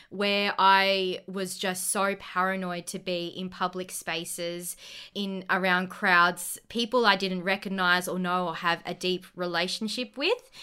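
An Australian woman in her late twenties tells her story on a podcast with bubbly earnestness, breaking into laughter often. Her voice is bright and forceful, smooth and crisp, sitting at an ordinary pitch. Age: 20s. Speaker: podcast guest, co-founder. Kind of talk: casual podcast chat.

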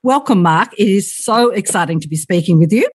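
A woman's voice: medium pitch, expressive, brisk, darkish tone, slightly rough. A woman hosting a podcast, reading an earnest scripted introduction and then interviewing a guest. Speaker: podcast host.

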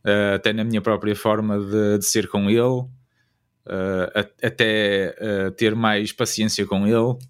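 A man with a European Portuguese accent chatting casually in an interview, in a hesitant, halting way. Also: fairly low pitch, level tone, clear, resonant tone. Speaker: interviewed father.

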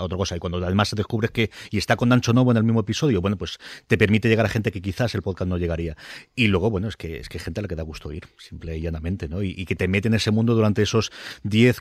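A male panelist talks quickly in a fairly low voice. He sounds conversational, in a dry recording. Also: no room sound. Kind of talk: casual panel chat.

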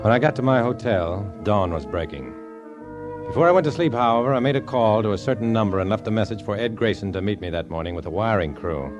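An actor playing a guarded, dry-witted undercover agent and narrator in 1950s radio drama style, terse and cool. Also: male voice, lowish voice, animated, fast talker, lo-fi sound, music in the background, natural voice. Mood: tense narration. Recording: old 1950s radio recording.

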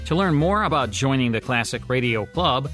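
A man's voice: mid-range voice, expressive, normal speed, a bit bright, soft background music. A man hosting a classic radio podcast, reading scripted narration and promos in a polished presenter's manner. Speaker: podcast host.

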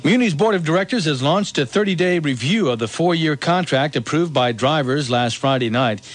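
A man's voice: a little high, expressive, moderate pace. A man with an American accent anchoring radio news, reading headlines and weather live.

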